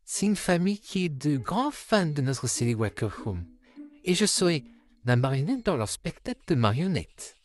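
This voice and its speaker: A woman voicing a gentle, anxious boy puppet in a comic dub, expressive and sincere. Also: low voice, normal speed. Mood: hopeful.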